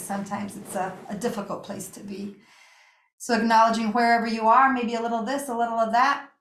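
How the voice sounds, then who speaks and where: Gentle woman guiding meditation, echoey room. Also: fairly high pitch. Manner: gentle, soothing, unhurried with pauses. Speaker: yoga nidra meditation teacher. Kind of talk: guided meditation.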